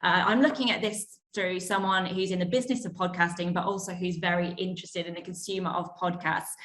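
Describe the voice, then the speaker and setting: A woman with a fairly low voice speaks as a panel moderator. She sets the scene in a prepared, presentational manner. The recording is noticeably roomy and echoey. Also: steady tone, brisk, fairly bright tone. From Western country, living in the UAE as an expat.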